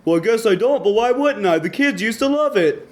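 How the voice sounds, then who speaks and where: A man's voice: very high-pitched, expressive, a little fast, darkish tone, pitched above the performer's own voice. A man voicing an eager, sheepish aging toy bucket in a live comedy story reading. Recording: noisy live room recording.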